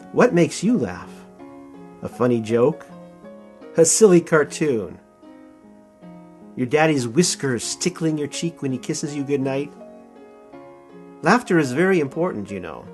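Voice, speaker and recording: A man narrates a children's storybook over a music backing. His pitch moves up and down freely, and he leans on key words with playful expression. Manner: animated, expressive, playful emphasis. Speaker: author reading own picture book. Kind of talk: children's storybook read-aloud.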